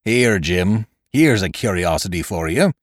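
A man voicing a cunning, domineering pirate sea-cook in an audiobook, with rough sailor dialect and a forceful, taunting manner. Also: deep voice, lively intonation, unhurried, dry recording, studio quality. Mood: wry, derisive. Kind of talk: audiobook character voice.

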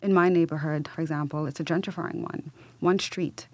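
A woman's voice: sits low, fairly even intonation, brisk, a little mellow, no room sound. A woman from Trinidad and Tobago, a research fellow, introducing herself in a reflective, earnest way on a podcast. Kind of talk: self-introduction in a podcast segment.

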